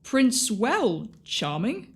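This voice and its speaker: A woman's voice: on the high side, very animated, extremely slow, breathy edge, full character voice. A woman playing a daft, cheery, loyal servant sidekick in an audio pantomime, comically dim and exaggerated. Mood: baffled.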